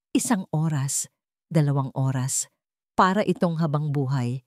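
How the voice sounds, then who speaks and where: A woman's voice: deep voice, mellow, no echo, studio quality. A woman storyteller reading a scripted romantic drama as narration.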